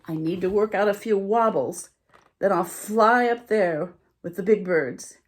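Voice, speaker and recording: Chirpy excited baby bird. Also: female voice, high-pitched, unhurried, voice pushed up. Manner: bright, chirping, eager.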